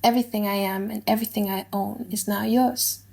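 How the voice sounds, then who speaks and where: A woman's voice: mid-range voice, fairly even intonation, fairly quick, vocal fry, a little mellow. A woman playing a devoted young noble heiress in a dramatized audiobook, speaking in earnest, formal declarations. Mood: devoted, solemn. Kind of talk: dramatized audiobook of a classic play.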